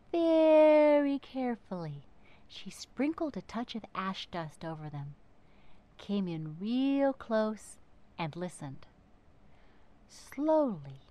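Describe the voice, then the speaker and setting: A woman reads a children's story aloud with a very lively, swooping pitch. Her delivery is theatrical, leaning on key words and pausing for drama. Her voice sits at a medium height for a woman. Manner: theatrical, dramatic emphasis and pauses. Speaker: narrator reading a children's book. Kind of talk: expressive storybook narration.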